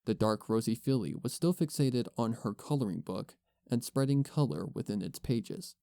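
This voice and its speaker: A man's voice: mid-range voice, lively intonation, moderate pace, vocal fry, warm tone, clean tone, no echo, clean studio sound. A man giving scripted storybook narration as the narrator of a fan fiction audio reading. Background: music.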